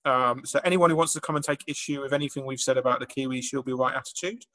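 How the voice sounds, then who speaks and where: A man's voice: medium pitch, quick pace, fairly bright tone. A man with a mid-range voice co-hosts a casual chat podcast. He speaks bluntly and with emphasis, punctuating his points with dry asides. He comes across as a comedian in an offhand conversation.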